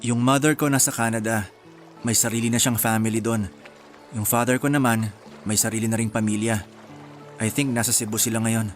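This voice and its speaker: An actor with a Filipino accent playing an easygoing, sentimental young man in a radio drama, relaxed and reflective. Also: on the low side, brisk, long pauses, faint music behind, natural voice. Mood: wistful. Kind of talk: Tagalog radio drama acting.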